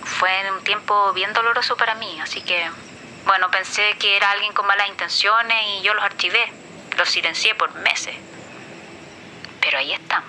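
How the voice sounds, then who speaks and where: A woman's voice: mid-range voice, normal speed, bright, forceful tone, slight background noise, low-quality recording. A woman playing a grieving, hesitant, reflective person leaving a grateful voice message in an audio drama. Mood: sad, reflective.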